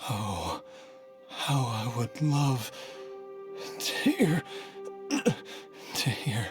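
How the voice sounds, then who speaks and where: A gentle, weary father from a game drama, devoted to his family and full of sorrow. He speaks slowly and haltingly, with wide swings of pitch, over clearly audible music. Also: animated. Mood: grieving, wistful. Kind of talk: dramatic video game voice acting.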